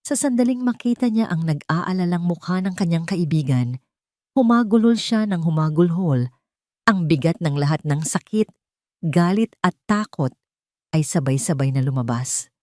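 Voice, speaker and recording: A Filipino woman narrates a dramatic audio love story in a lowish voice. The recording is dry and close, with no room around it. She reads scripted lines as a storyteller. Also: lively intonation, normal speed, a little mellow, no echo.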